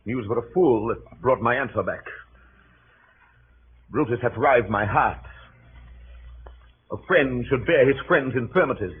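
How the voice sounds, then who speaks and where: An actor playing an aggrieved, hot-tempered Roman conspirator in declamatory, impassioned 1930s radio Shakespeare style. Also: male voice, medium pitch, unhurried, pauses often, lightly acted. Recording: muffled, noisy 1930s radio broadcast.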